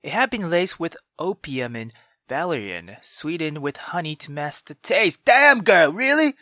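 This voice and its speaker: Male let's player, informal and expressive, unhurried delivery with lively pitch, reading a story game aloud. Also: no echo, clean studio sound. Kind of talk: reading a visual novel aloud with reactions.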